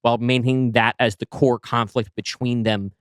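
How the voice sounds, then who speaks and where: Dry-sounding podcast man, mid-pitched. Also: flat delivery, normal speed, clear, resonant tone, no echo. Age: adult, married. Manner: thoughtful, explains his creative choices with many restarts. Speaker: podcast co-host, writer of the story. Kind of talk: casual podcast discussion.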